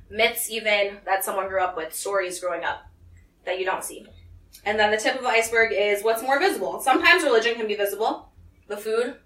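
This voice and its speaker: A woman's voice: medium pitch, a bit bright. A young woman from the United States telling a personal story to a small group, acting out the voices of the people she quotes. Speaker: speaker giving a talk. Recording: echoey room, voice from some distance.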